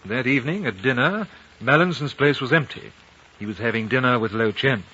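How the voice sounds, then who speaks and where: A reserved, thoughtful British consul in a vintage radio play. His voice is bright and mid-pitched, his manner polite and dryly formal. The sound is the dull, narrow tone of a 1950 broadcast. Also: animated, a little fast, slightly rough. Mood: reflective narrating. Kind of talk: 1950s radio drama dialogue and narration.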